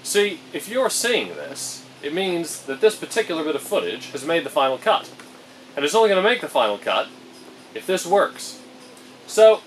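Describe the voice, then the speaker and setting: A man's voice: on the high side, a bit bright, far from the mic, a little hiss or hum, rough recording. A young man explaining his boatbuilding project to camera in a plastic-sheeted workshop, methodical and dryly humorous. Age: young adult. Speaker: boatbuilding vlogger. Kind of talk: explaining a woodworking build to camera.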